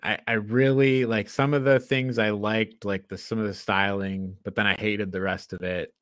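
A man's voice: fairly low pitch, level tone, moderate pace, fairly bright tone, clear, resonant tone, no echo, clean studio sound. A man hosting a podcast, talking casually as he reviews a film. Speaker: podcast host.

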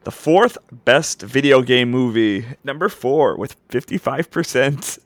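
A man's voice: mid-range voice, expressive, measured pace, no room sound. A man co-hosting a podcast, giving his opinions on movies in casual, wry chat. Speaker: podcast co-host.

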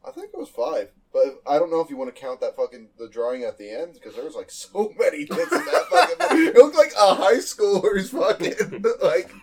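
Male co-host, fairly high voice, lively intonation, relaxed sweary joking among friends. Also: fairly quick, few pauses. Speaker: podcast co-host. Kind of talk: casual profane banter among friends.

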